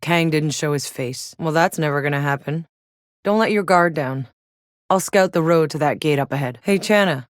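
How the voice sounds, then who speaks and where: Woman acting a tough sarcastic soldier lady for a video game, kinda low voice, blunt, totally dry studio sound. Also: moderate pace, dry recording, natural voice. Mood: determined, blunt. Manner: blunt, wry, swearing. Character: tough, sardonic battle-hardened female soldier in sci-fi wasteland. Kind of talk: scripted video game character voice lines.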